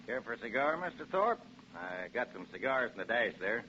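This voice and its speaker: A man's voice: medium pitch, big pitch swings, brisk, pushed and bright, soft background music. An actor playing a breezy, placating American property salesman with a folksy, glib manner, in a 1940s radio drama. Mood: breezy, persuasive. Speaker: real estate agent. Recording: dull, noisy 1940s radio recording.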